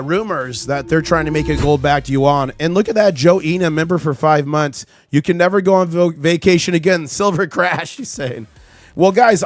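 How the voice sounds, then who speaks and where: Male livestream host, chatty and excitable, voice just back after being lost, faint background music. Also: a little high. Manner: chatty, reads chat aloud, excitable. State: recovering from lost voice. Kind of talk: casual live market commentary.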